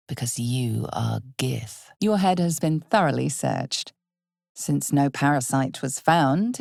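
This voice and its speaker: A woman playing an ominous, knowing narrator in a dark fantasy video game, with a husky, gravelly voice and measured, hushed, theatrical delivery. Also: low voice, no room sound, studio quality, full character voice. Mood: dry, wry. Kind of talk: dramatic scripted second-person fantasy game narration.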